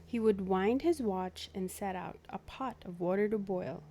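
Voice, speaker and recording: A woman reads a picture book aloud to young children. Her voice is soft-edged and mellow, and she takes her time over each phrase. She narrates expressively, as the host of a storytime. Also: mid-range voice, measured pace. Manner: clear storytelling with animated asides to young listeners.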